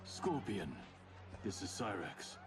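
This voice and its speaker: Deep, stoic ninja warrior. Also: male voice, sing-song, over music. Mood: stoic, matter-of-fact. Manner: formal, terse, measured. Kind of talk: video game cutscene acting.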